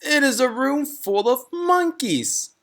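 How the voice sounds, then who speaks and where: A man's voice: very high voice, expressive, slow, a bit bright, voice pushed up, heavily acted. A man voicing a nice, dotty, easily astonished woman schoolteacher with an emphatic, exclaiming manner. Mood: indignant.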